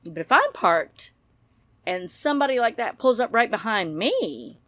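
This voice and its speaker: A woman's voice: fairly high pitch, very animated, a little slow, a bit bright, no room sound. Rambling woman, close dry sound. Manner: blunt, rambling, mild swearing. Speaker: true-crime YouTube commentator. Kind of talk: casual opinionated commentary.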